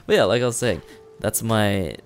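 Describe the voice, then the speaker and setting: A young man talking loosely over gameplay, his low voice worn raspy and gravelly from a long session. He rambles in an easygoing way, full of fillers and restarts, with faint music underneath. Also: animated, moderate pace. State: raspy, strained voice. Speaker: gaming commentator. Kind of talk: casual commentary over gameplay. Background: faint background music.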